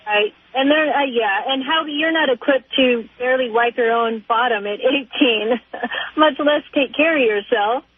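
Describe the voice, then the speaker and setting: Tinny phone line, lady caller with a high husky voice, rambles and hesitates. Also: a little slow, no room sound. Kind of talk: casual conversational phone call. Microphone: telephone.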